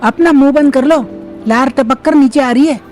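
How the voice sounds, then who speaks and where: A woman acts out an anxious, soft-hearted young man in an audio drama. The high voice is worried and pleading, quick to take offence, over faint music. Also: close to an everyday voice. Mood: indignant. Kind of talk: Hindi audio comedy-horror drama acting.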